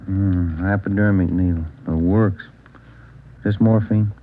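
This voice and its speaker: An American man playing a steady, plainspoken police detective, direct and businesslike, in old-time radio drama style. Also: low-pitched, measured pace, slight background noise. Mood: sober. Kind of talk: mid-century radio drama acting. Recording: thin, lo-fi old radio recording.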